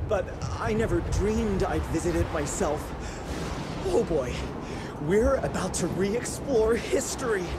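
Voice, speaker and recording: An eager young inventor sidekick in a western game, nerdy and nervous. His pitch leaps around as he babbles awkwardly, with loud noise behind him. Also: expressive, unhurried. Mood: awed. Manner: flustered, wordy, awkward. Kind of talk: western video game cutscene acting.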